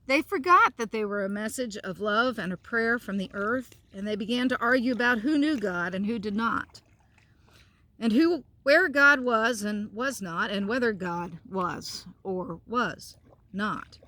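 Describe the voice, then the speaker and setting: A woman's voice: medium pitch, expressive, unhurried, pushed and bright. Woman pastor speaking simply to children. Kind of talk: children's storybook reading and informal devotional talk.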